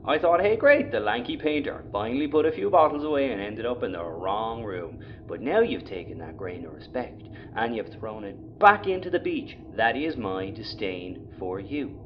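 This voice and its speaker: An actor playing a grumpy, sarcastic, exasperated spaceship captain in a sci-fi audio comedy. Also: male voice, medium pitch, big pitch swings, moderate pace, hardly any pauses, highs cut off, slight background noise. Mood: exasperated, indignant. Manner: exasperated, sardonic.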